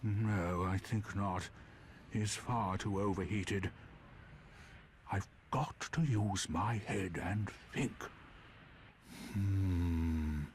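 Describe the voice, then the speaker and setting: An actor playing a hesitant, good-natured knight in a fantasy game, musing theatrically in old-fashioned phrases. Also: male voice, low-pitched, drawn-out words, pauses often, a little hiss or hum, full character voice. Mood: uneasy, pondering. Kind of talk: fantasy video game voice acting.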